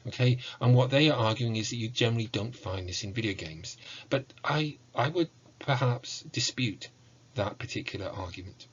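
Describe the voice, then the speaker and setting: A man giving an academic lecture in a measured, explanatory way, leaning on key words. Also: medium pitch, highs cut off, a little hiss or hum. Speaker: university lecturer. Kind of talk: academic lecture with slides on video game music.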